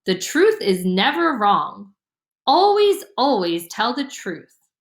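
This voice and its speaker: A woman's voice: fairly high pitch, sing-song, takes it slowly. Woman voicing a sing-song, touchy Southern lady neighbor. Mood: assured. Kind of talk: storybook character voice. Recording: roomy, echoing room sound.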